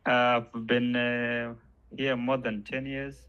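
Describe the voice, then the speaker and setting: A man's voice: medium pitch, little pitch movement, takes it slowly, clear, resonant tone, dull audio. A man in his thirties from South Sudan introducing himself as a student on a video call, laughing and good-humoured. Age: 30s. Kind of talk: casual self-introduction.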